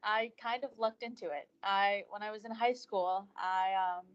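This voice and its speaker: A young woman engineering student talking casually on a panel for high school students, chatty and quick to laugh. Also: fairly even intonation, highs cut off. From Southeast Florida, US.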